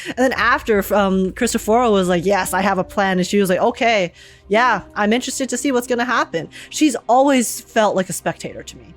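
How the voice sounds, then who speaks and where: A woman's voice: medium pitch, brisk. A young woman giving casual game reaction commentary, slangy and rambling, often acting out what others might say. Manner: rambling, animated quoting, slangy. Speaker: game streamer reacting to a story. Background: faint game music.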